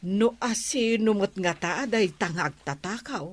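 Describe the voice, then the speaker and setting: A woman's voice: mid-range voice, expressive, measured pace, dry recording. An actress with an Ilocano accent playing a scolding, urging village woman in a radio comedy.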